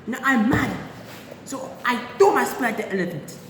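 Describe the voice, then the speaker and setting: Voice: medium pitch, normal speed, lightly acted. A performer playing a boastful primitive hunter who tells a comic story in broken, simple grammar, repeating words and grumbling. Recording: echoey room with steady background noise.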